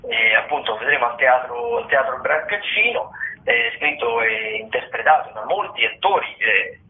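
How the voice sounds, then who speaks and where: A man hosting a radio show, presenting listings in a halting way, half reading notes and half commenting off the cuff. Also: mid-range voice, big pitch swings, vocal fry. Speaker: radio host. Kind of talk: theatre listings round-up, semi-scripted radio talk. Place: radio broadcast. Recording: narrow, phone-like radio sound.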